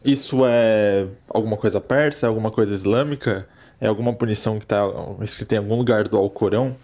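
A man in a casual voice message, asking a podcast guest a question in a relaxed, slangy way. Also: lowish voice, fast talker. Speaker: listener sending a voice message.

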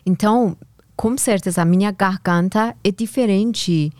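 A woman from Iran with a strong Persian accent, a podcast guest answering questions haltingly in a language not her first. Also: mid-range voice, darkish tone, no echo. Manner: halting, searching for words. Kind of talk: casual interview answers.

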